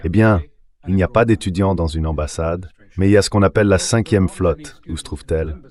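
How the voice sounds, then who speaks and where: A man delivering a measured, explanatory political analysis as an interview guest, in a dubbed translation. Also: low voice, darkish tone.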